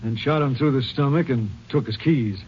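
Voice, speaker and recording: An actor playing an easygoing small-town sheriff in a 1950s radio drama, speaking in a laconic, matter-of-fact way, heard through a muffled old recording. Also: male voice, mid-range voice, moderate pace, a little hiss or hum, lightly acted.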